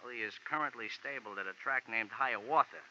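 An actor playing a little racetrack character who talks in stilted, formal slang in 1940s radio style. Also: male voice, mid-range voice, fast, sharp tone. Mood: earnest, emphatic. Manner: stilted formal diction without contractions, slangy flourishes. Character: eccentric horse-loving racetrack character, little man, wordy and formal. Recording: dull, noisy 1940s radio recording.

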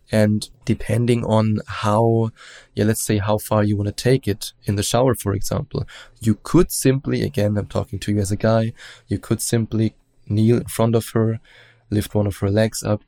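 A man with a German accent, a relationship coach giving measured advice as an interview guest, often hedging with "let's say". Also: on the low side, creaky, dark tone, dry recording. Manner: explanatory, measured, hedges with "let's say".

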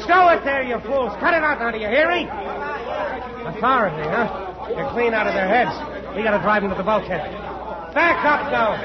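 An actor playing a hot-headed, sarcastic second-in-command at sea in a 1940s radio adventure. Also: male voice, high voice, expressive, normal speed, pauses often, a loud crowd. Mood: shouting orders. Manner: agitated, sarcastic, blunt. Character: hot-headed, sardonic male second-in-command of a ship in a typhoon. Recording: crackly 1940s radio, muffled, storm din behind.

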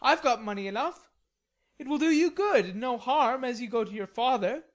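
A woman's voice: a little high, normal speed, pitched above the performer's own voice, natural voice. A woman voicing a wilful, hot-tempered teenage boy in an audiobook, protesting emphatically. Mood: eager, coaxing. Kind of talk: audiobook character reading.

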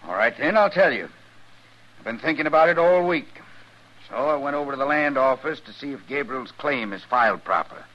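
A man's voice: medium pitch, expressive, brisk, bright, forceful tone. A man playing a surly, callous rancher in an old radio western, with an American accent and an insolent, drawling manner. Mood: surly, sly. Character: surly, grudging male rancher, callous troublemaker. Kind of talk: 1950s radio western acting. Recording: dull, hissy old radio recording.

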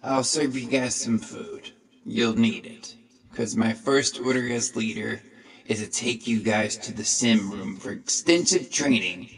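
A man's voice: medium pitch, measured pace, pitched above the performer's own voice, natural voice, low-quality recording. A man voicing an eager, earnest robot ranger who leads a team in a superhero audio drama. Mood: serious resolve.

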